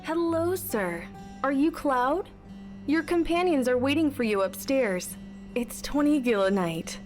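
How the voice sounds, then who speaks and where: An actress playing a courteous, welcoming innkeeper in a video game. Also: female voice, high-pitched, expressive, unhurried, darkish tone, faint music behind. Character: polite, welcoming female innkeeper. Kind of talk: video game character dialogue.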